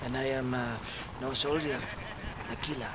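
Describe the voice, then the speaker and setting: A man's voice: mid-range voice, fairly even intonation, moderate pace, heavy background noise, close to an everyday voice. A man playing a theatrical Mexican army captain in a video game, menacing yet jovial, with a Mexican accent. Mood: grave, lamenting. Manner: theatrical, swinging from mock threat to lament. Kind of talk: video game character acting.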